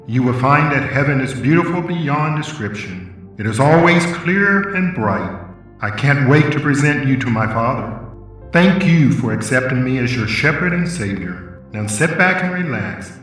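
Guy doing a calm captain making a cabin announcement, soft music behind, reassuring. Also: mid-range voice, very echoey, recorded from a distance, lightly acted, rough recording. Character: calm male airline captain, heavenly. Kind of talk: radio drama.

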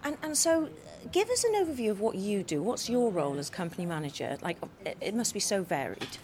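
A woman interviewing a guest, enthusiastic and quick to exclaim in surprise. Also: mid-range voice, very animated, a little mellow.

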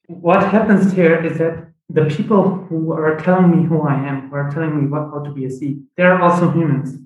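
A young man whose first language is Punjabi, a podcast guest, explaining his views in a thoughtful, measured way with frequent fillers. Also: fairly high pitch, a little mellow, roomy sound, highs cut off. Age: young adult. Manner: thoughtful, measured, uses many fillers like "you know". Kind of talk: reflective conversational explanation.

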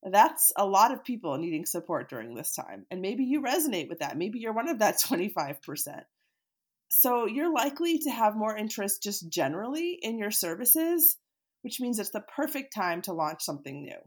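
A woman's voice: mid-range voice, moderate pace, breathy edge. Scratchy-voiced woman podcaster with a cold. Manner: chatty, animated, laughs easily, occasional fillers. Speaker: podcast host and therapist. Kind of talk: solo podcast talk, teaching.

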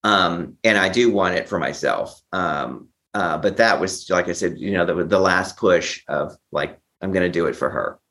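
Low male voice, interview guest, personal storytelling, stop-start phrasing, repeats himself. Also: a bit bright. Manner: halting, repeats and restarts phrases.

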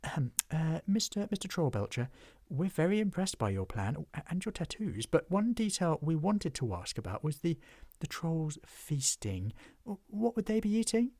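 A man's voice: on the high side, very animated, moderate pace, a little mellow, dry recording, studio quality, pitched above the performer's own voice. A man voicing a timid little male goblin who stammers nervously.

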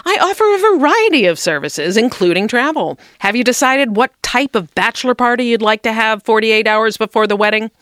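Clear, brisk, unflappable saleswoman. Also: fairly high pitch, lively intonation, a bit bright, no echo, clean studio sound. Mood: cheerful sales pitch. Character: brisk, blunt, unflappable saleswoman serving customers. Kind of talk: comedy radio sketch acting.

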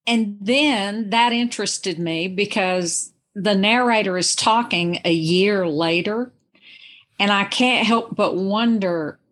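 Female podcast guest, slow-paced reader's voice, casual but analytical, thinking aloud with questions. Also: medium pitch, a bit bright. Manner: musing, questioning. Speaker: guest giving a reader's view. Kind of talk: casual, analytical book discussion.

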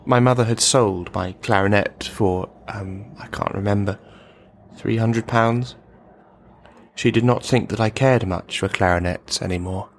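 A man's voice: fairly low pitch, unhurried, a little hiss or hum. A man performing a radio play monologue as a bitter, brittle, menacing army veteran, rambling and brooding as he addresses a silent listener. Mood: defensive, bitter.

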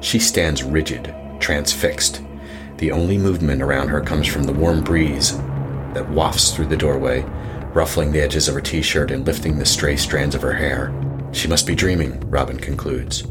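A man narrating a scripted horror story in a deliberate, dramatic way. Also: extremely low pitch, steady tone. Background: music under the voice.

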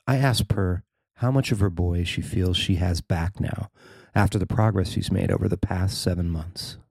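A man's voice: deep voice, normal speed, gravelly, darkish tone, breathy edge, no echo. A man narrating a scripted news feature as its writer and reader.

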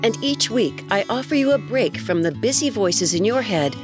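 A middle-aged woman hosting her own show, sounding stuffy from a cold as she reads a reflective, gently wry personal story. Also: mid-range voice, lively intonation, normal speed, over music. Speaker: podcast host. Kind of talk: reading a personal essay.

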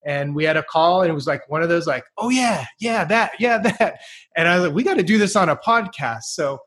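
An enthusiastic man hosting a podcast interview, his voice sitting a little higher than most men's. He talks with eager energy and laughs easily while introducing his guest. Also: lively intonation, brisk, fairly bright tone. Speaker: podcast host.

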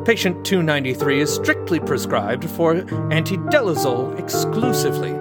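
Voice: medium pitch, sing-song, normal speed, close to an everyday voice. An actor playing a smug, manipulative doctor in an audio drama, with condescending, mock-polite, theatrical phrasing. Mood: clinical, stern. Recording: loud music under the voice. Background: music.

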